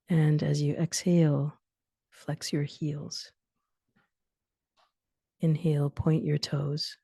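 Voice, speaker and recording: A woman guides a sleep meditation in a low, mellow voice with a gravelly creak. She gives soothing instructions in short phrases, leaving long pauses, and her phrase endings turn soft and breathy. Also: no room sound, studio quality.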